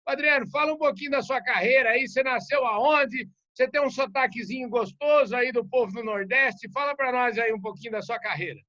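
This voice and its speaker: A man's voice: extremely high pitch, brisk, hardly any pauses, sharp tone. A man hosting a podcast interview in a casual, chatty and jokey way. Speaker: podcast host. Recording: dull lo-fi sound.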